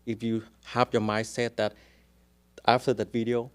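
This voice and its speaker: A man's voice: mid-range voice, flat delivery, normal speed. A man with a strong Vietnamese accent, a real estate agent speaking as a guest in a casual interview, explaining things earnestly and step by step.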